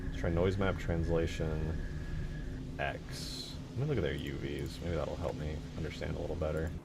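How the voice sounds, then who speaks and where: A man giving casual livestream commentary as a game modder, informal and low-key, muttering to himself as he works. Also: fairly even intonation, moderate pace, creaky, noisy background. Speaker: game modder streaming his work. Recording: music behind the voice. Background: music.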